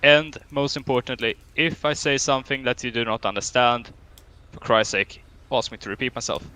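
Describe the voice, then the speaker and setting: A man's voice: medium pitch, fairly even intonation, moderate pace, bright, forceful tone, smooth. A young man with a Swedish accent running a roleplay police training, stern and drill-like with dry humor. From Sweden. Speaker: training officer.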